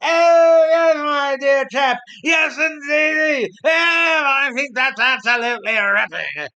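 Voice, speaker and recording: A man voicing a ventriloquist dummy's posh British gentleman act, pompous and effusive, for a comic audio play. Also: very high-pitched, takes it slowly, highs cut off, pitched above the performer's own voice, heavily acted. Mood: gushing, pompous.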